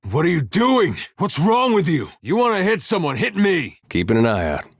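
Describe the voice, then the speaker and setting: A man's voice: fairly low pitch, lively intonation, measured pace, no room sound, studio quality. A man voicing an easygoing, wry farmer turned adventurer for a video game, with a folksy, drily humorous way of talking. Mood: dry, wry.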